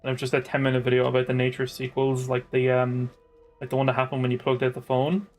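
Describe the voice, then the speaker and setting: A man with a medium-pitched voice chats casually as a let's play host. Game music runs underneath his loose, conversational commentary. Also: completely flat delivery, fast talker, a little mellow. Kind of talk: casual gameplay chat.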